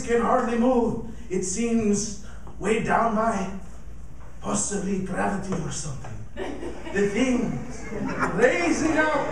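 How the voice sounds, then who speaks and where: A man acting a frightened radio reporter giving a halting, breathless eyewitness account in an old-time radio drama performed on stage. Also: very high voice, heavily acted. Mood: urgent. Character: frightened radio reporter witnessing an alien emerge. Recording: very echoey theatre stage, distant, faint noise.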